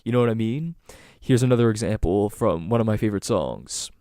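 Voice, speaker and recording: A man's voice: fairly low pitch, brisk, mellow, clear, resonant tone, dry recording. Rambling Canadian man, dry close sound. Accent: Canadian, Greater Toronto Area. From Southern Ontario, Canada. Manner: rambling, many ums and restarts. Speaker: podcast host. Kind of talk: casual solo podcast monologue.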